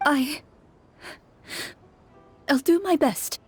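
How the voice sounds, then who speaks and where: A woman's voice: sits high, extremely slow, darkish tone, faint music behind. A woman playing an anxious townswoman in a video game, speaking in a hesitant, subdued way. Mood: grateful, timid. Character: anxious, hesitant townswoman worried for her soldier husband. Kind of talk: video game character acting.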